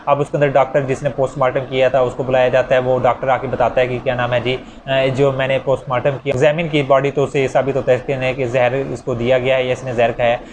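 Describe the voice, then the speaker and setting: A man's voice: mid-range voice, flat delivery, quick pace, few pauses, noisy background. A man with a Pakistani Urdu accent giving a conversational law lecture, explaining points through worked examples.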